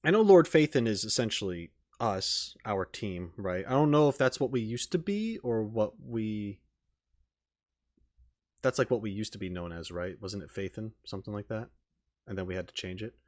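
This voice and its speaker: A man's voice: on the low side, animated, normal speed. A man giving casual, off-the-cuff reaction commentary as a video game host, hesitant and thinking aloud as he repeats words.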